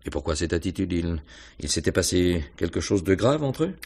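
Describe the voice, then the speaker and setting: An actor playing a probing, pensive police inspector in a French radio mystery, questioning in a hesitant, musing way with little hums and pauses. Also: male voice, low voice, big pitch swings, darkish tone, slightly rough, heavily acted. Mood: insistent.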